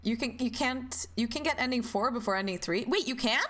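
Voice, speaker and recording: A young woman from Norway streaming a game, chatting casually and greeting chat with high, bubbly hellos. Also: fairly quick, fairly bright tone.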